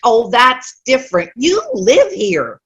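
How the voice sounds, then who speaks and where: A woman's voice: on the high side, big pitch swings, slow pace, husky, full character voice. A woman playing a cranky, stubborn elderly widow in an amateur comedy play reading, grumbling bluntly and dismissively. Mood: scornful. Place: online video-call play reading.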